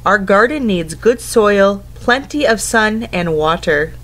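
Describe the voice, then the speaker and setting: A woman's voice: medium pitch, expressive, takes it slowly, a bit bright. A woman in her thirties reading a picture book aloud to young children, instructing in simple phrases and putting questions to them. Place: indoors at home, living room couch.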